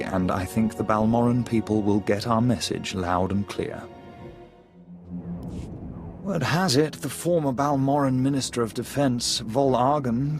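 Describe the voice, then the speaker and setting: An actor playing a refined, coldly calculating Imperial lieutenant in a video game, precise and smug in delivery. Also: male voice, mid-range voice, lightly acted. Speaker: military officer character. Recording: loud music behind the voice. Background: music.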